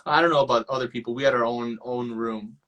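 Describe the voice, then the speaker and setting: A young adult man hosting a casual live chat, relaxed and affirming as he talks. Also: medium pitch, quick pace. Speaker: host.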